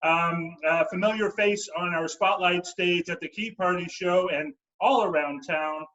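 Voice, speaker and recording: A man hosting an online comedy show, introducing acts in a halting way with many fillers. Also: sits high, fairly bright tone, dull audio. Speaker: show host.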